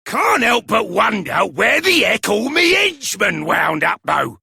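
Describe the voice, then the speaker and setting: An actor playing a blustering, rough villain boss in a video game, with a raspy, coarse voice. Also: male voice, high-pitched, very animated, unhurried, no room sound, studio quality, heavily acted. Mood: blustering, puzzled. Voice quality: raspy, gravelly. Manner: loud, coarse, colloquial. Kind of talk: video game character acting.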